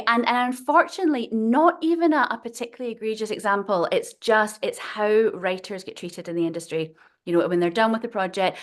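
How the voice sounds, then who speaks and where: A woman writer being interviewed, talking in a chatty, digressive way and laughing often. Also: medium pitch. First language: not Swedish. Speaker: guest writer. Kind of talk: casual interview conversation.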